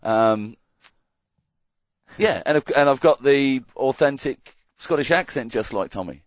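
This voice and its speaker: An Australian man co-hosting a casual podcast chat, ranting in animated mock disgust. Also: mid-range voice, measured pace, dry recording, muffled recording. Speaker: podcast co-host.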